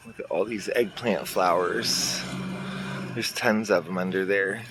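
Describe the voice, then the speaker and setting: A man with a lowish voice strolls through his garden, chatting to viewers as he points out plants. He sounds a little congested. The phone recording is made outdoors, with a faint hum of traffic in the background. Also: unhurried. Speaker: garden vlogger. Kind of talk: casual garden walkthrough. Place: outdoors in a garden near a road. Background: faint traffic and outdoor ambience.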